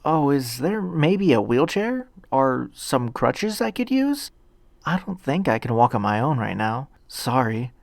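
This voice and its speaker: A man voicing a gentle, shy seventeen-year-old girl in a fan fiction story, polite, hesitant and apologetic. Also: medium pitch, big pitch swings, a little slow, creaky, no room sound. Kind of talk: fan fiction audio reading.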